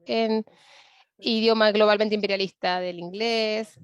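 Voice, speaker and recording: A woman interpreting a panelist's talk into Spanish in a steady first-person delivery. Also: medium pitch, measured pace, clean tone, no echo, highs cut off. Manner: steady first-person rendering of another speaker.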